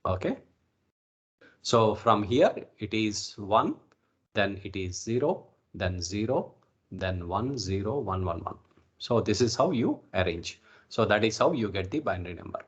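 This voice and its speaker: A man's voice: on the low side, animated, unhurried. A man with an Indian accent giving an informal lecture as an instructor on an online call, often checking in with okay and right. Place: online video call recording.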